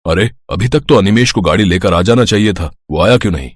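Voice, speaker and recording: A man playing an authoritative office boss in an animated story, businesslike and firm. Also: low-pitched, fast talker, no room sound. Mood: annoyed, stern.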